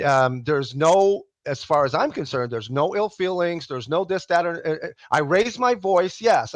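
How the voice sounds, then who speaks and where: A man with a loud, booming voice debating on a video call. He is emphatic and repeats himself to close the argument. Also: dry recording. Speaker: atheist debater. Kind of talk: heated casual debate.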